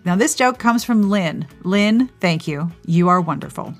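Woman, slightly low pitch, podcast host, chatty scripted delivery, playful and enthusiastic, music in the background. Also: animated, unhurried. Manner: playful, enthusiastic, conversational asides.

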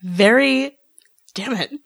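A woman voicing a deadpan female fantasy drinking companion, speaking deliberately in an amateur fan-fiction read-aloud. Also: on the high side, creaky, dry recording, studio quality, heavily acted. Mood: flat, resolute.

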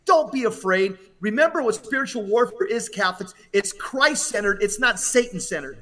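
A man's voice: sits high, lively intonation, moderate pace, pushed and bright. A man giving impassioned religious exhortation as a podcast commentator, urgent and emphatic, often repeating phrases for weight.

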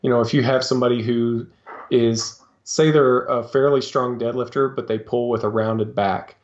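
A man talking as a guest on a podcast, explaining his coaching in a halting way with lots of fillers and restarts. His voice sits in a middle register, and the recording sounds a little dull and lo-fi. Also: medium pitch, steady tone. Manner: hesitant, many fillers and restarts. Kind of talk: casual interview talk.

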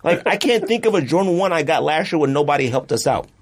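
A man's voice: fairly high pitch, animated, fast talker. A man hosting a podcast in casual, slangy discussion, repeating words for emphasis. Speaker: podcast host.

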